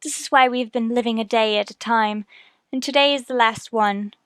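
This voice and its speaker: A woman's voice: fairly high pitch, moderate pace, a bit bright, clear, resonant tone, no echo, clean studio sound, natural voice. A woman acting in a radio murder mystery, playing an earnest, emotional character with dramatic delivery. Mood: resolute, hurt.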